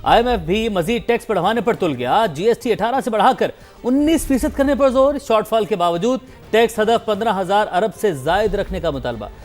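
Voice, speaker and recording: Male Pakistani anchor, high register, punchy scripted headline read over light news music. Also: lively intonation, a little fast. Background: news music bed.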